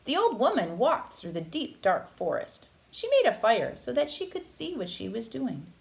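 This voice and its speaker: A woman narrating a children's picture book aloud with expressive, dramatic phrasing. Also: fairly high pitch, normal speed, gravelly, rough recording. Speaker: read-aloud storyteller.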